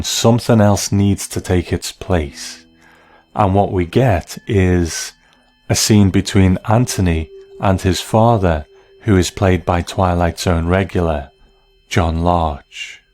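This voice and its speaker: A man giving measured, analytical commentary as the host of a review of classic television. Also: sits low, a little mellow.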